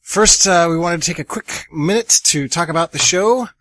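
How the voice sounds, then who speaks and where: A young man hosting a college radio theater show, chatty and energetic with plenty of fillers. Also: fairly high pitch, normal speed, no echo. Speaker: host.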